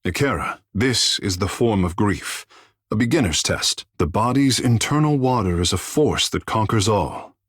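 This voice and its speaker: A man playing a proud, vain young godlike islander sea mage in a fantasy video game, speaking in lofty, flowery, formal phrases with a husky, breathy voice. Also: fairly low pitch, lively intonation, normal speed, dry recording, studio quality. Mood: lofty, reverent. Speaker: companion character in a fantasy game. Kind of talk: theatrical video game dialogue.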